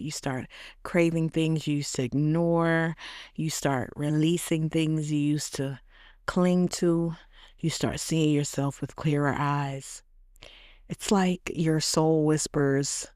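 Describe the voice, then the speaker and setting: A woman in her late thirties hosting a podcast, delivering a reflective scripted monologue in slow, measured, affirming short phrases. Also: low-pitched, darkish tone, no echo, studio quality. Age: late 30s. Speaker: podcast host.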